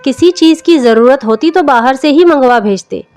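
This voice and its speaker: A woman voicing a caring young wife who gently chides, in a story reading. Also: high-pitched, expressive, moderate pace, pitched above the performer's own voice. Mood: pleased. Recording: music under the voice.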